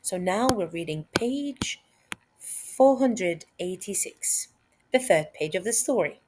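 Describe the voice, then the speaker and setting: Female teacher, mid-pitched, unhurried read-aloud delivery for kids, deliberate and expressive, with explanatory asides. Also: noisy background. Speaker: teacher reading a story aloud. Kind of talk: read-aloud lesson for children.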